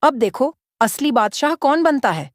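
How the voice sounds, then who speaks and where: A woman's voice: high voice, big pitch swings, unhurried, dry recording, studio quality, voice pushed up. A woman voicing a jealous, scheming village man, bossy and impatient, in storybook dialogue. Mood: gloating.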